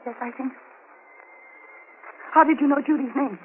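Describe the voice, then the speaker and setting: A woman playing an anxious but quick-witted housewife held hostage in an old radio drama, earnest and dramatic. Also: high voice, close to an everyday voice. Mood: tense, probing. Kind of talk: 1940s radio drama acting. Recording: tinny old radio recording with hiss.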